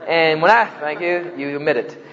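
A man's voice: a little high, moderate pace, sharp tone, big-room sound, highs cut off, slight background noise. A man from New Jersey with a New Jersey accent, giving a humorous talk to students and acting out the voices in his stories. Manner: joking storyteller, acts out quoted voices. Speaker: campus speaker.

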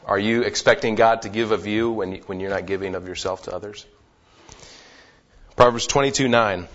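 A man's voice: on the low side, normal speed, fairly bright tone. A man leading a group Bible study in a casual way, often repeating words as he gathers his thoughts. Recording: dull lo-fi sound.

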